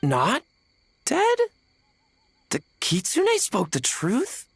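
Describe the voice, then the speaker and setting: Raspy, creaky tanuki spirit. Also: female voice, mid-range voice, wildly swooping pitch, very slow, heavily acted. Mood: astonished. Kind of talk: video game voice acting.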